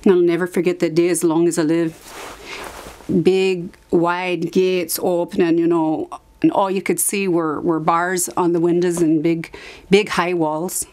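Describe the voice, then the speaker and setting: A woman acting out a dramatized monologue as an anguished asylum survivor recalling her past, halting, with emphatic repetitions. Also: on the low side, little pitch movement, darkish tone, natural voice. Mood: bitter remembrance. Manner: halting, emphatic repetition, sudden drops to whisper. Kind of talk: dramatized monologue, confessional testimony.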